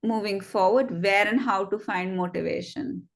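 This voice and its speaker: A woman's voice: medium pitch, gravelly. Chatty young woman giving friendly advice. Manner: chatty, encouraging, quick asides with fillers. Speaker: host of a student network session. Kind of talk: interactive online session, casual advice.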